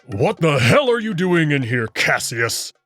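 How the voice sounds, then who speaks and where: A man playing a proud, confident wolf-man noble in a visual novel, formal and deliberate in his wording. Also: a little high, big pitch swings, fairly bright tone, soft background music. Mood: angry, confrontational. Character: proud, confident male wolf-man noble, playful but hot-tempered.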